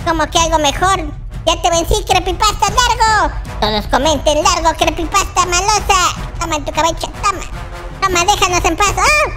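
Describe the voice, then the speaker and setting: A host voicing a baby boy character in a very high, childlike voice, giving excitable, exclamatory Minecraft roleplay commentary and repeating words. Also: moderate pace. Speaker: gaming video host. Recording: children's music behind the voice. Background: loud playful children's music.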